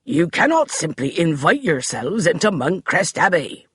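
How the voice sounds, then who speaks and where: A man voicing a tall, stern butler who speaks in rasping, forbidding tones. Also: fairly high pitch, big pitch swings, moderate pace, a bit bright, dry recording, studio quality, voice pushed up, full character voice. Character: tall stern male butler guarding an earl's door.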